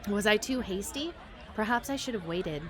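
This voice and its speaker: A woman livestreaming a visual novel, reading the text aloud and reacting to it in an expressive, giggly way. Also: medium pitch, normal speed, crowd noise. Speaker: streamer playing a visual novel. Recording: music behind the voice. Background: game music.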